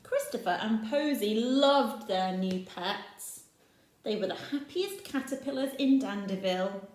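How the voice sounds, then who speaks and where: A woman's voice: mid-range voice, measured pace, a little mellow. A woman teacher reading a picture book aloud to children, pointing out the pictures and asking the listener questions. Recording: echoey room.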